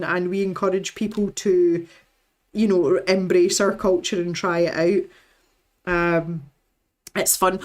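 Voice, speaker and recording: A Scottish woman chatting casually as a livestream host, opinionated and given to lively storytelling. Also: mid-range voice. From west coast of Scotland. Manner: chatty, opinionated, animated storytelling with acted-out quotes. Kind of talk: casual chat with live viewers.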